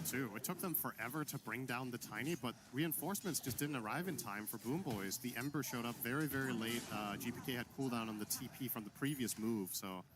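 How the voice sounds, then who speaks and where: Measured male esports analyst. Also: mid-range voice, noisy background. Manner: measured, explanatory, with frequent ums. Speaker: color analyst. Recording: broadcast commentary over game music.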